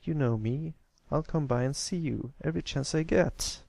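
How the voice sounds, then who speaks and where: A man voicing a warm, easygoing adult stallion, an affectionate and reassuring big brother in a storybook reading. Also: fairly high pitch, moderate pace, gravelly, no room sound, pitched above the performer's own voice.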